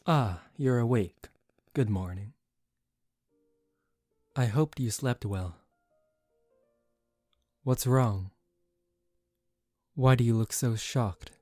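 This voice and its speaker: A man playing a composed young aristocratic vampire in a soft-spoken ASMR roleplay, calm, formal and gently commanding, protective yet secretive. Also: medium pitch, drawn-out words, long pauses, no echo, studio quality, faint music behind. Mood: gentle, reassuring.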